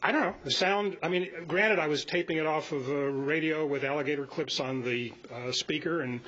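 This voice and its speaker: A man in his seventies giving an informal, halting, rambling talk to a club meeting. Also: fairly high pitch, sharp tone, husky. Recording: muffled live meeting audio with hiss.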